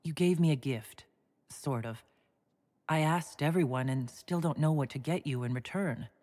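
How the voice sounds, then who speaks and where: An actress playing a composed, gently wry female warrior hero in a fantasy video game, speaking in a measured, plain way. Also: low-pitched, natural voice. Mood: wry, earnest.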